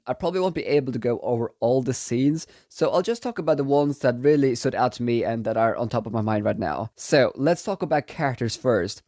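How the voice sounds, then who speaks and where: A man's voice: mid-range voice, darkish tone, dry recording, clean studio sound. A young man reviewing a fantasy novel in a casual, chatty way while he has a cold. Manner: enthusiastic, chatty, repeats himself. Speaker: book reviewer. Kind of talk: casual book review vlog.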